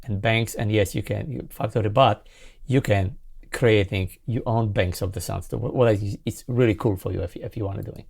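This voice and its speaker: A man in his forties, a non-native English speaker, hosting a casual synthesizer tutorial in an enthusiastic, halting way. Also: on the low side, fairly even intonation, vocal fry. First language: not English. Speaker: host, sound designer demonstrating a synthesizer plugin. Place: small home music studio, indoors. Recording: synthesizer music behind the voice. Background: loud synthesizer sounds and music.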